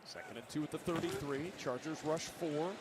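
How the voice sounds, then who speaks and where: A man's voice: fairly high pitch, normal speed, heavy background noise. A man calling football play-by-play for a broadcast in short, clipped phrases. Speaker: play-by-play announcer. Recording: loud stadium ambience behind broadcast.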